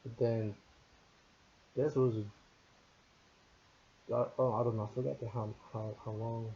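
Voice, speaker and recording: A man's voice: mid-range voice, little pitch movement, slow pace, dark tone, highs cut off, a little hiss or hum. A man of thirty-nine whose first language is Korean, giving a casual, thoughtful spoken reflection on a book, with many restarts and fillers. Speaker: book reviewer.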